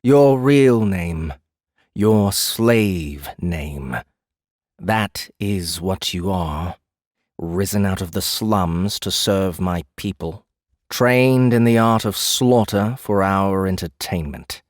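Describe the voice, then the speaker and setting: An actor playing a calm, smugly possessive male fae captor in an audiobook drama, measured and quietly taunting. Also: deep voice, dry recording, clean studio sound. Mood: cold, taunting.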